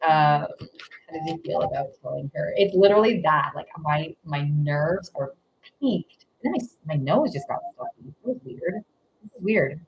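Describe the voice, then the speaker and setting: A woman's voice: low voice, lively intonation, moderate pace, slightly rough, lo-fi sound. A woman doing a live tarot reading in casual chat, rambling with many fillers and giggles. Her nose is stuffy. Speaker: tarot reader.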